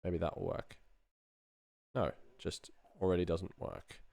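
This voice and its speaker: A man's voice: sits low, little pitch movement, a little slow, creaky, dark tone, no echo. A middle-aged man chatting casually as he works on a livestream, thinking aloud in a dry, self-deprecating way. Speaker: sound designer streaming his work. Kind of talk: casual livestream chat while working.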